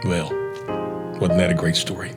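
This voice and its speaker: A man's voice: deep voice, little pitch movement, normal speed. A man hosting a children's story programme, reading scripted storybook narration. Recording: loud piano music behind the voice. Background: piano music.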